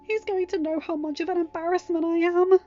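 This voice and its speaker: A woman's voice: very high voice, fairly even intonation, brisk, dark tone, highs cut off, voice pushed up. A woman voicing an anxious, exclamatory, self-doubting teenage girl in an audiobook. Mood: dismayed. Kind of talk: audiobook character dialogue.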